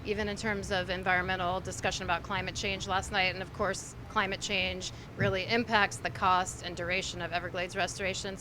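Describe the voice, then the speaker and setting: Measured woman columnist from Miami. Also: fairly low pitch. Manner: measured and opinionated, weighing her words. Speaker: newspaper columnist. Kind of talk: live-stream political panel chat. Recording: noisy live-stream background.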